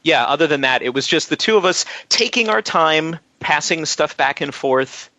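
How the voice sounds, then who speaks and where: A man's voice: a little high, animated, normal speed, pushed and bright, highs cut off. A man speaking as a musician guest in an interview, telling detailed, chatty anecdotes.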